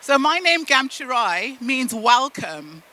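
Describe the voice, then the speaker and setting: A middle-aged woman whose first language is Shona speaks to an audience in a very high, strikingly bright voice. She is from the UK and Zimbabwe and tells her story deliberately, as a keynote speaker, leaning on emphatic pauses. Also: sing-song. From United Kingdom and Zimbabwe. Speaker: keynote speaker at a business event. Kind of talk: motivational storytelling talk to an audience.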